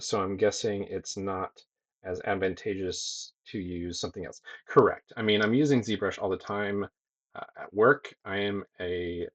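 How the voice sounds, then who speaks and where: A young man with a soothing, slightly low voice chats easygoingly with his livestream audience. He reads viewer messages aloud and fills pauses with relaxed ums as he goes. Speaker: streamer and digital sculptor. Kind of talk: casual livestream chat with viewers. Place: home streaming room with green lighting.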